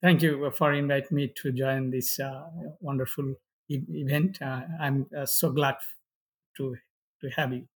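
A middle-aged man from Bangladesh with a Bangladeshi accent and Bengali as first language, giving personal testimony haltingly in non-fluent English. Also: medium pitch, little pitch movement, husky. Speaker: pastor, interview guest.

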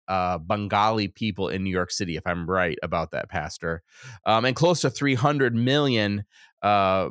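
A man's voice: deep voice, fairly bright tone, no room sound, clean studio sound. A man hosting a religious interview show in a conversational manner. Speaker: host.

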